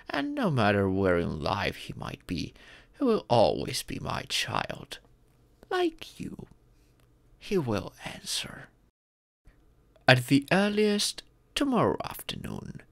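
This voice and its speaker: A man voicing a gentle, proud dragon father and former king in a comfort roleplay, speaking formally and tenderly. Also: mid-range voice, creaky, no room sound, exaggerated voice. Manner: formal, tender, paternal speech with deliberate pauses. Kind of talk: scripted comfort ASMR roleplay.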